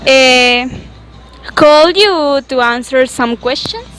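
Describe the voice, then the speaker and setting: A young woman, a Spanish speaker asking a student's scripted interview questions in halting English. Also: high-pitched, lively intonation, a bit bright, faint music behind. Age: young adult.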